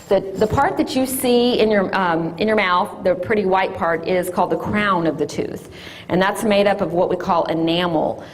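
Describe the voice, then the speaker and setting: A woman, an orthodontist giving a loud, lively classroom talk to children. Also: on the low side, slight background noise. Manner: loud and lively, sing-song call-and-response.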